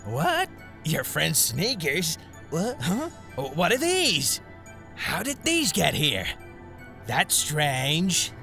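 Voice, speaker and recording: A man's voice: fairly high pitch, wildly swooping pitch, extremely slow, creaky, long pauses, pushed and bright, soft background music, heavily acted. A man playing a greedy, scheming shopkeeper villain who gloats and mutters asides in an anime-style audio drama. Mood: startled, flustered.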